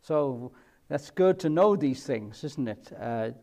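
A man's voice: mid-range voice, expressive, slow, warm tone. A middle-aged man with a Welsh Cardiff accent preaching a sermon, explaining a Bible passage with repeated key points and frequent fillers. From Cardiff, Wales.

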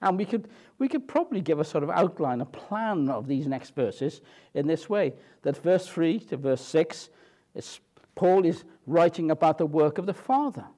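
A man preaching an evening sermon, teaching through a Bible passage in an earnest, explanatory way with frequent fillers and restarts, and slowing down when he reads scripture. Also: a little high, lively intonation, dark tone.

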